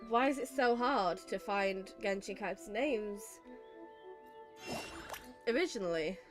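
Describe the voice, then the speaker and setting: A woman's voice: mid-range voice, unhurried, over music. A British woman giving casual, sweary, exasperated commentary as she plays a video game. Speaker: video game streamer playing and reacting. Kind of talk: casual chat, let's-play commentary.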